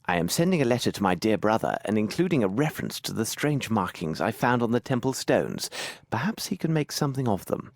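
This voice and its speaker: A husky-voiced English gentleman detective in a video game. He is formal, brisk and analytical, laying out deductions precisely, with lively rises and falls in pitch. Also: no room sound, studio quality, exaggerated voice. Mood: analytical, grave. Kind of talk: video game cutscene acting.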